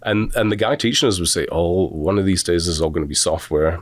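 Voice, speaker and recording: Deep-voiced Scottish man, dry sound. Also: quick pace, no room sound. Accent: Scottish, softened toward American. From Scotland. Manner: rambling, self-deprecating storyteller. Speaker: animation instructor. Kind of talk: relaxed conversational interview.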